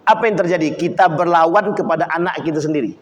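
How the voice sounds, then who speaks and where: A man's voice: on the high side, fast talker, highs cut off. A man preaching a sermon to a congregation. He acts out quoted voices and keeps putting questions to his listeners. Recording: long reverb of a hall.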